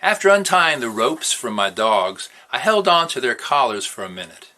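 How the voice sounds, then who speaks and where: A man reading a novel aloud as an expressive storyteller. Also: mid-range voice, moderate pace. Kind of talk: expressive read-aloud narration.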